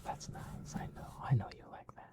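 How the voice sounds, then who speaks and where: A man whispering ASMR in the persona of a dog, with soft, coaxing, soothing pet-talk. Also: moderate pace.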